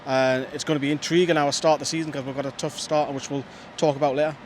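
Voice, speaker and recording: A man giving measured, opinionated football views outdoors. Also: fairly high pitch, flat delivery. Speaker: fan pundit. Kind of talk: casual football chat. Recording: outdoor street noise.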